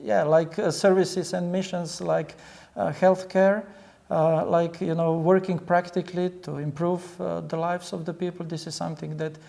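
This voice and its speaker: Gravelly, even-toned male pastor. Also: on the high side, normal speed. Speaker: pastor, interview guest. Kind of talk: earnest interview answers.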